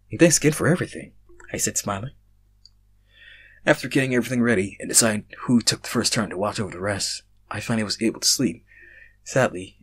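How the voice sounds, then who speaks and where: A man's voice: on the low side, a bit bright, breathy edge. A man reading stories aloud as an amateur host, informal, sometimes stumbling over his words. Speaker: fan fiction reader, channel host. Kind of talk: amateur story narration and casual sign-off.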